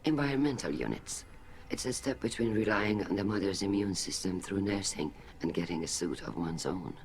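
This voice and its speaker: An actor playing an older alien admiral in a video game, grave, guarded and measured. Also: male voice, low voice, animated. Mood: somber, reflective. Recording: clear background noise.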